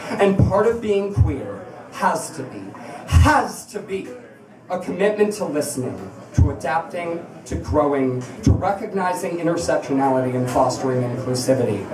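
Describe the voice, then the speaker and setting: A woman's voice: lowish voice, sing-song, a crowd in the background. Woman, spoken-word performer, impassioned live reading, emphatic rhetorical delivery, echoey room, recorded from a distance. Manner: emphatic, rhetorical, confrontational, with deliberate repetition. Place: indoors at a bar, live event.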